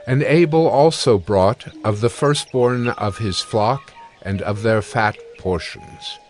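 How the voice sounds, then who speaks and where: A man narrating scripture from a script in a measured, solemn manner. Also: on the low side, slight background noise.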